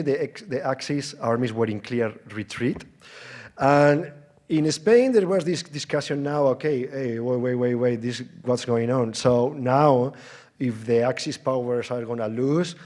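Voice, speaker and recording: A man speaks as an academic presenter at a conference, in a medium-pitched voice. His delivery is halting, often restarting and repeating words. He explains things informally, with conversational asides and rhetorical questions. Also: darkish tone. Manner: halting, with stammered false starts and repeated words. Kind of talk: conference lecture.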